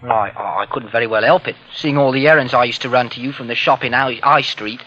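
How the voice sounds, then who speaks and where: An actor playing a bitter, defiant young ex-convict with a London accent, sullen and sardonic, in 1940s radio drama style. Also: male voice, medium pitch, fairly even intonation, fast, lightly acted. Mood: uneasy. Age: young adult. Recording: muffled old radio recording, loud music.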